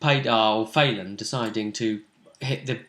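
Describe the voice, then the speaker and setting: A man's voice: on the low side, fairly even intonation, moderate pace, a bit bright. A man talking casually as game master of a tabletop role-playing game, chatty and fond of tag questions. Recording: echoey room.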